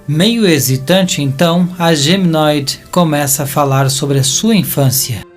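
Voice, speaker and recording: A man's voice: fairly high pitch, a little slow. A man with a Brazilian accent narrating a scripted story dramatically, as in an audio drama. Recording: music bed behind narration.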